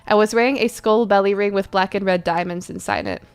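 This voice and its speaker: A woman's voice: medium pitch, clean tone, faint music behind. A woman giving an exaggerated, theatrical dramatic reading of badly written fan fiction, misspellings and all. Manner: exaggerated, theatrical delivery that keeps deliberate misspellings. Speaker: streamer doing dramatic readings.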